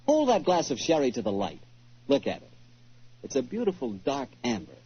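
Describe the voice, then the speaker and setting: A man's voice: mid-range voice, huge pitch swings, normal speed, slightly rough. An American man working as a 1940s radio announcer, polished and salesmanlike in delivery. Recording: muffled 1940s radio recording with music.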